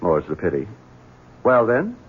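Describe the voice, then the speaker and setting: A cold, stammering master. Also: male voice, low voice, huge pitch swings, a little slow, husky, full character voice. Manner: stammering when caught off guard, curt and commanding otherwise. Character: domineering Southern son, nervous, drinking and cruel. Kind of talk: 1970s radio drama acting. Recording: narrow-band old radio broadcast.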